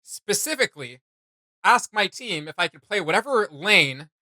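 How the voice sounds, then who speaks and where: A man's voice: sits high, big pitch swings, normal speed, pushed and bright, no echo. A man hosting a reaction video, giving casual commentary in a blunt, mocking, slangy way.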